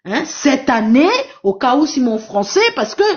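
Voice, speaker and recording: A high-voiced woman whose first language is Portuguese speaks on a live online panel, pressing her points with emphatic repetition. Her voice comes through dull, lo-fi stream audio. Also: lively intonation, normal speed. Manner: emphatic, repetitive, exhorting the audience. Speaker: live stream moderator and activist. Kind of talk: impassioned live panel talk.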